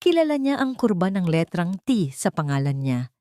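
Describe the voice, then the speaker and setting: A woman narrates a romance story from a script. Her voice sits a little low for a woman, and the recording is dry and close, with very little room sound. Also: big pitch swings, moderate pace, warm tone, no room sound.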